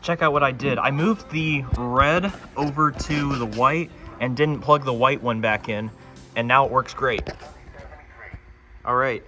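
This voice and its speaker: A man presents a hands-on electronics project in a casual, conversational way. His voice sits at an average pitch. Music plays clearly in the background. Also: measured pace, bright, forceful tone.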